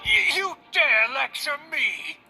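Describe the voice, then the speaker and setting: A scheming, middle-aged noble uncle for a video game. His voice is high and extremely sharp, full of pompous indignation and formal, domineering theatrics. Music plays behind him. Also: wildly swooping pitch, slow, exaggerated voice. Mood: indignant fury. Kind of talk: video game character acting.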